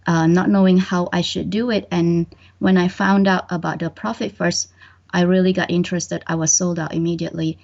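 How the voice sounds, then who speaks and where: A woman's voice: on the low side, level tone, moderate pace, creaky, darkish tone. A middle-aged woman from Indonesia, raised in Malaysia, answering interview questions hesitantly with many ums. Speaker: bookkeeper and guest. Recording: dull lo-fi audio.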